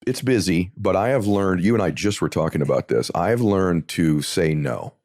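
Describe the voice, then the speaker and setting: A man with a deep voice, a guest in an interview, telling a personal story earnestly and building to impassioned emphasis. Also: lively intonation, normal speed, a little mellow, no echo, studio quality.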